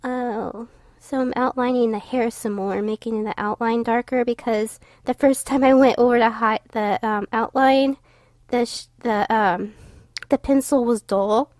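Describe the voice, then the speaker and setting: A woman's voice: on the high side, steady tone, a little slow, a little mellow, dry recording, studio quality. A young woman with a tired, stuffy voice, casually narrating her drawing with lots of fillers and restarts. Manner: rambling, many fillers and restarts. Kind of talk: casual voice-over commentary.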